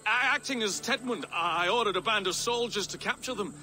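An actor playing a cowardly monk who stammers and pleads in a video game cutscene. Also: male voice, high-pitched, fairly quick. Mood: panicked pleading. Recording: loud game music behind.

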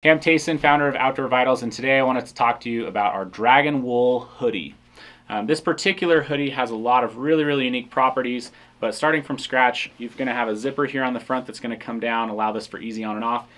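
Seated at a camera in an indoor studio, a man in his thirties talks through a product in a casual, explanatory way. His voice sits at an ordinary middle pitch, and he fills pauses with frequent ums. He sounds relaxed. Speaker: company founder presenting a product. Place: indoor studio set with hanging jackets.

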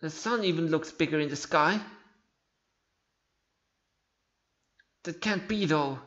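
A man's voice: fairly high pitch, brisk, a bit bright, muffled recording, natural voice. A non-native English-speaking man voicing a small, thoughtful, anxious electric-mouse hero in a video game. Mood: uneasy. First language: not English. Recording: game music behind the voice.

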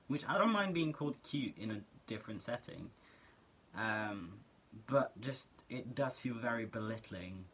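A man's voice: mid-range voice, fairly bright tone, a little hiss or hum. A teenage guy from Norway with a Norwegian accent, talking earnestly and casually to camera as a vlogger, full of filler words, in a small bedroom. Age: teens.

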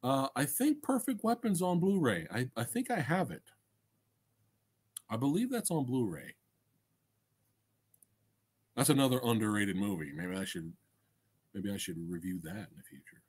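A middle-aged man with a husky voice and a very lively, swinging pitch. He hosts a casual livestream, chatting to viewers in enthusiastic slang peppered with swearing. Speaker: livestream host and film reviewer. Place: small indoor room crammed with collectibles. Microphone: desk microphone close in front.

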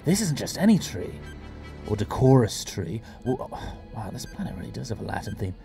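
Guy acting clever quirky time traveller, mellow voice but swoops around, audio drama, music behind. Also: fairly low pitch, big pitch swings, moderate pace, creaky. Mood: wry, explaining.

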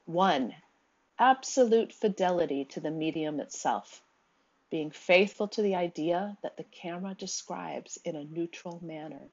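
A woman giving a recorded slide lecture in a measured, steady way, with the odd um. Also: lowish voice, muffled recording. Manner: measured, reads quotes aloud, occasional ums.

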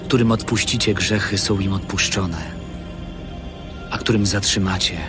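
A man acts out a calm, authoritative holy teacher in an audio Bible drama. His voice is very low and he speaks slowly and solemnly, over prominent music. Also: natural voice. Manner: slow, measured, solemn. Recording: studio voice over loud cinematic music.

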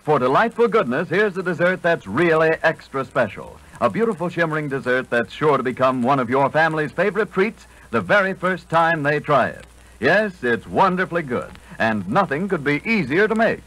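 A man's voice: on the high side, expressive, normal speed, fairly bright tone, rough recording. A man reading advertising copy as a polished, enthusiastic 1940s radio announcer. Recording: vintage 1940s radio broadcast.

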